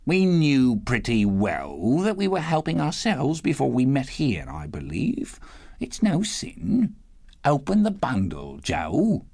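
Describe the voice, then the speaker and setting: A man reading aloud, voicing a bold, coarse old charwoman who talks in a shrill, brash way. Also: wildly swooping pitch, slow pace, darkish tone, exaggerated voice. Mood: brazen.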